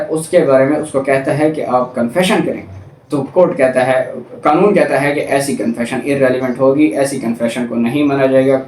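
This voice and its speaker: A man's voice: medium pitch, darkish tone. A man with a Pakistani accent giving an explanatory law lecture in a didactic way, repeating points for emphasis. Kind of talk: explanatory lecture, reading statutes and explaining them. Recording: roomy echo, distant mic, faint noise.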